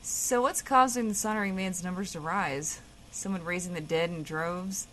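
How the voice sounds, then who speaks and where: A woman's voice: fairly low pitch, moderate pace, a bit bright, a little hiss or hum, close to an everyday voice, low-quality recording. A woman playing a sardonic, impatient radio co-host in scripted comedy banter.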